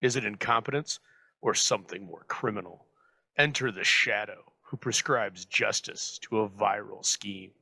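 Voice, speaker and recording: A man delivers dramatic scripted narration as a show host. His voice is lowish and gravelly, recorded close and very dry. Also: moderate pace, sharp tone, no echo, rough recording. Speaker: host introducing old radio episode.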